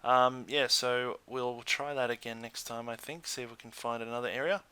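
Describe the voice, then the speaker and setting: A man gives relaxed, informal game commentary in a bright, forward voice at an average male pitch. He often hesitates and thinks out loud as he plays. There is clear background noise on the recording. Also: moderate pace. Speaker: gaming video host.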